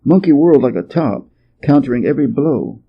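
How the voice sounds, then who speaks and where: A male storyteller narrates a storybook expressively. His mid-pitched voice is husky, and the recording is slightly dull and lo-fi. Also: expressive, a little fast. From Hawaii, United States.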